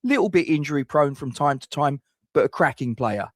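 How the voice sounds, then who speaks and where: Lively, wry fan host. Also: male voice, fairly high pitch, a little fast, dry recording, studio quality. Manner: wry, self-mocking jokes. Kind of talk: scripted transfer rumour commentary.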